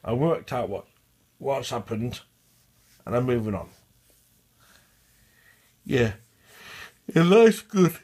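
A man's voice: mid-range voice, very animated, slow pace, pauses often, darkish tone, breathy edge. A man with a stutter, talking in a rambling, repetitive vlog monologue. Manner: repetitive, restarts and repeats phrases. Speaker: vlogger. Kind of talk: casual rambling monologue to camera.

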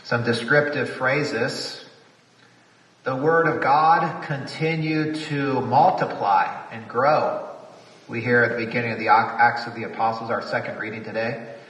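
A man's voice: medium pitch, unhurried, fairly bright tone, roomy sound, long reverb tail, recorded from a distance, poor audio. A man, a priest, preaching a reflective homily at a retreat, repeating phrases for emphasis.

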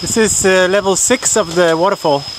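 A man in his thirties from Denmark giving halting, informal vlog commentary outdoors, speaking into a camera at arm's length. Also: high voice. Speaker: travel vlogger. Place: outdoors in a forest park. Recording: outdoor noise of insects and water.

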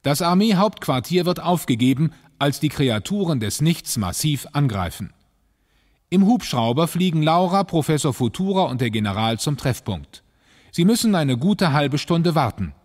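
A man's voice: fairly high pitch, big pitch swings, normal speed, a little mellow. A man narrating a radio play in a scripted, dramatic storytelling style. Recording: long reverb on the voice.

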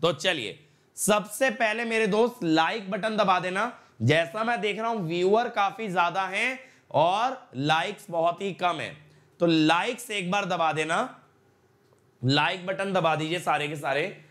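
Young male tutor, sore throat, high pitch, unhurried, repeats himself, lapel mic in a studio. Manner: repetitive, energetic, coaxing viewers with "my brother". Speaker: exam-prep educator. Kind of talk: online live class intro, Hindi-English mix. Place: studio in front of a slide screen.

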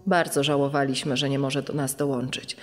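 A woman's voice: extremely low pitch, level tone, brisk. A woman playing a wistful sister of fugitives, giving testimony in a true-crime audio documentary. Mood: wistful recollection. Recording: long reverb, music behind.

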